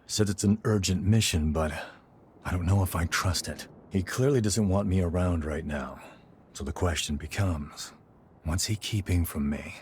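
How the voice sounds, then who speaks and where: An actor playing a suspicious warrior in a game cutscene, serious and confiding, as to an old friend. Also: male voice, deep voice, moderate pace, slight background noise, natural voice. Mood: guarded, uneasy. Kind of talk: dramatic game cutscene dialogue.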